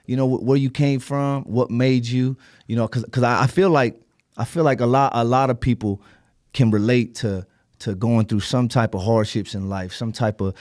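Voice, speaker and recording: A man's voice: mid-range voice, fairly even intonation, normal speed, darkish tone, no echo. Stammering podcast host man, dry close sound. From California. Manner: stammering, repeats words, lots of "you know what I mean". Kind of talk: casual interview chat. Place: indoor podcast studio.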